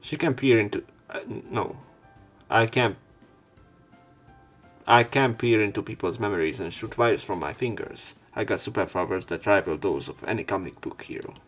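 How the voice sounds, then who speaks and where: A man's voice: lowish voice, lively intonation, moderate pace, fairly bright tone. A man doing a let's play, reading a visual novel aloud in an everyday voice and adding casual commentary. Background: game music.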